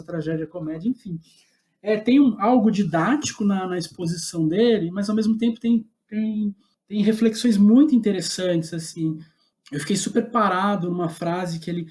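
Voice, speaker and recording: Mellow Brazilian man, thoughtful and halting. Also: high voice. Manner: thoughtful, halting, searching for words. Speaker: guest playwright. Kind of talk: casual reflective interview chat. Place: remote online call.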